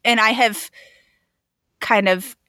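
A woman with a bright, forceful voice co-hosting a laid-back podcast. She chats easily, laughs often and reads listener questions aloud. The sound is close and dry. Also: a little high, steady tone, slow pace, no room sound. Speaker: podcast co-host. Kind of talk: casual conversational podcast.

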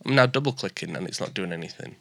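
Podcast host, man, naturally high and bright voice, dry close studio mic, chatty and joking. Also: lively intonation, fast talker, gravelly, no echo. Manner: chatty, joking, self-deprecating. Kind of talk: casual chat. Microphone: dynamic studio mic with preamp.

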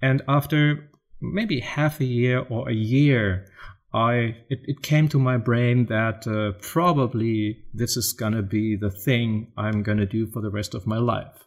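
A man's voice: mid-range voice, steady tone, a little slow. A middle-aged man from Germany with a German accent, a photographer chatting as an interview guest, often restarting and repeating words while searching for his English. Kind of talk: casual interview chat.

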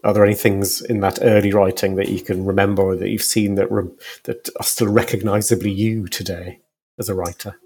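Husky-voiced man interviewing. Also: fairly low pitch, little pitch movement, fairly quick, darkish tone. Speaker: interviewer, host. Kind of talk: conversational interview questions.

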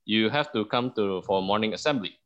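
Young man from Indonesia, guest storyteller, animated; bright, tense tone, dull recording. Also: lowish voice, brisk. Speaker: podcast guest. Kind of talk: storytelling in an interview.

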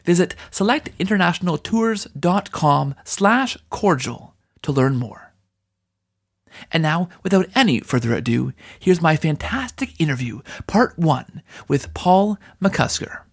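A man's voice: on the high side, big pitch swings, a little slow, vocal fry, breathy edge. A man hosting an interview podcast, speaking in an earnest, enthusiastic way. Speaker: podcast host. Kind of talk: podcast introduction and interview talk.

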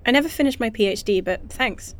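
A woman acting naturalistically in an audio drama as a caring, no-nonsense scientist and medic, with a dry, brisk, informal manner. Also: a little high, natural voice. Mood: dry irritation.

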